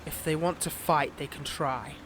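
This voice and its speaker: Over noticeable outdoor-like background noise comes a man acting a high-pitched male villain in a romance audio drama. He is smug and possessive toward a former lover, mixing theatrical flirting with veiled, taunting threats. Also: steady tone, normal speed, a bit bright, lightly acted. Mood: smug, menacing.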